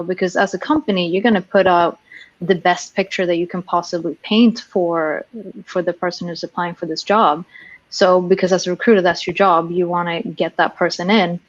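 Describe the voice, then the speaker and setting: Soft-spoken woman from Sweden, muffled. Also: on the low side, no room sound. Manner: earnest, frank, with restarts. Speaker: sales professional, panelist. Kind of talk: casual panel discussion.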